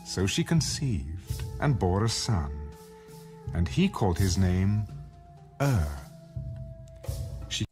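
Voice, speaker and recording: A man's voice: low-pitched, big pitch swings, slow pace, long pauses, over music, full character voice. A man performing as a solemn narrator in a dramatized audio Bible, reading scripted scripture. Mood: solemn narration.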